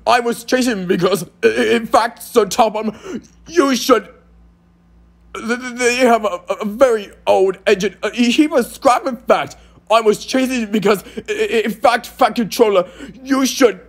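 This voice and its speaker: A man voicing a boastful, scornful male steam engine in a cartoon audition, with a pompous, theatrical, sneering delivery and a raspy, gravelly voice. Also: extremely high pitch, normal speed. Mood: flustered.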